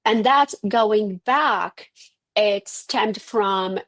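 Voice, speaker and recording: A woman's voice: medium pitch, very slow, a bit bright. A middle-aged woman from Syria with an Arabic accent, a physician telling her personal story in an interview, earnest and restarting her sentences often. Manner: earnest, with frequent restarts and self-corrections. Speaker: guest, physician.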